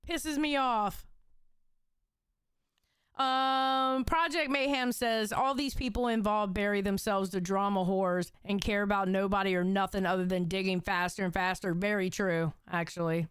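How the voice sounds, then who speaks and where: A woman's voice: medium pitch, fairly even intonation, unhurried, fairly bright tone, clear, resonant tone, no echo. A young adult woman streamer giving blunt, heated commentary on clips and reading out chat comments. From Puerto Rico. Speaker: reaction streamer. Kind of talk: casual commentary reacting to clips.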